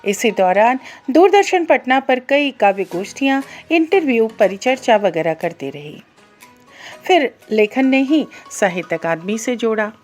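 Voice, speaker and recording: A middle-aged woman radio presenter from Bihar whose first language is Maithili. Her voice sits fairly high and moves in lively, animated swings as she introduces herself to listeners. Music plays with her voice. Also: unhurried. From Bihar, India. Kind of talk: scripted self-introduction to listeners.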